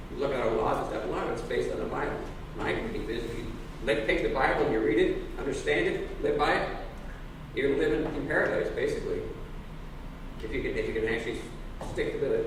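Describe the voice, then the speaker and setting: A man speaking as a candidate at a public forum, halting, with many fillers and restarts. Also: mid-range voice, gravelly. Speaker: political candidate. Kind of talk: forum speech. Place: public library forum room. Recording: echoey room, distant mic, noise.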